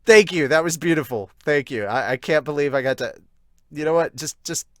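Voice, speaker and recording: An excitable, giggly, sweary man with hiccups, hosting a casual manga review and reading out viewer comments. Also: on the high side, fairly quick, no echo, studio quality. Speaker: host of a manga review show.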